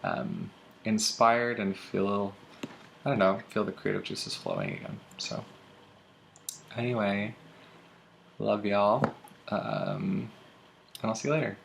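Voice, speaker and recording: Gravelly, low-voiced young man chatting. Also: sing-song, slow pace, long pauses, fairly bright tone, slight background noise. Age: young adult. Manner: chatty, many ums and uhs. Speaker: booktuber. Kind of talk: casual book tag vlog.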